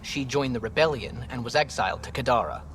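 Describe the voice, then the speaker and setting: An actor playing an earnest, troubled space-station security officer in a video game. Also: male voice, mid-range voice, expressive, fast, fairly bright tone, slight background noise, close to an everyday voice. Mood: earnest, bitter.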